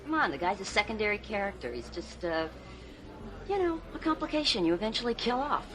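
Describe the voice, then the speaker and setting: An actress playing a brash, confident romance novelist, with a brassy, teasing delivery, in a sitcom clip. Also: female voice, mid-range voice, very animated, a little fast, heavy background noise, close to an everyday voice. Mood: lecturing. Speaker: sitcom character. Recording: sitcom clip with studio audience laughter.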